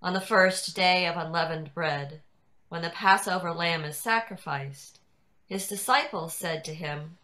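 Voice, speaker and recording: A woman narrating a scripted scripture reading in a deliberate way. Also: fairly low pitch, pushed and bright. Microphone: video call.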